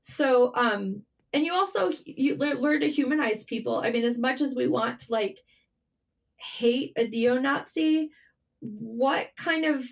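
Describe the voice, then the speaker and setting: A woman's voice: high voice, unhurried, creaky. A woman talking casually and candidly as an interview guest, telling stories in an informal, mildly sweary way. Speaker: interview guest, writer and journalist. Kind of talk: casual interview conversation, storytelling.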